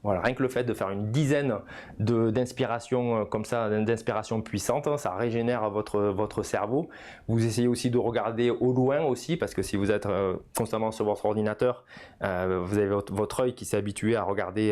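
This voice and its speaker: A man's voice: medium pitch, darkish tone. Man in his fifties, informal coaching lesson. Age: 50s. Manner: didactic, punctuates phrases with "hein" and "voilà". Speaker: personal development coach. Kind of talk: informal video lesson, explanatory.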